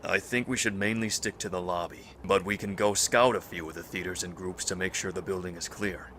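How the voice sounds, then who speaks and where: A man playing a calm, authoritative doctor who leads a band of survivors in a fan-made audio drama. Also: low voice, fairly quick, slight background noise. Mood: calm, commanding. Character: calm, authoritative male doctor leading zombie-apocalypse survivors.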